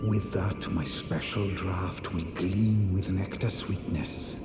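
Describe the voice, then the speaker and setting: An actor playing a haughty, condescending, scheming sorcerer and puppeteer in a fantasy video game, with pompous, sneering, theatrical phrasing. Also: male voice, low-pitched, steady tone, takes it slowly, heavy background noise. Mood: scheming, sly. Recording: echoey hall reverb under loud music.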